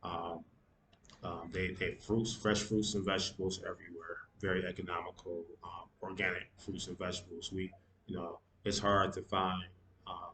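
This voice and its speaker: A man talking casually to camera in an unhurried way, often pausing with fillers and restarts and dropping Swahili words into his English. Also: deep voice, flat delivery, sharp tone, slight background noise. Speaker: vlogger. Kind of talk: casual spoken vlog. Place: Tanzanian city.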